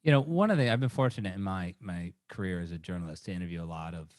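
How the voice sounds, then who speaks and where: A man's voice: sits low, quick pace, dry recording, clean studio sound. A middle-aged man hosting an interview in a conversational way, circling into his questions with restarts. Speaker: interview host, journalist.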